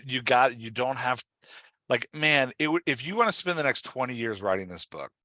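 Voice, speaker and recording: A man with a bright, forceful voice speaks as a host and coach, recorded close and dry. He explains emphatically in a conversational style and often steers with leading questions. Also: medium pitch, no echo. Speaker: podcast host and writing coach.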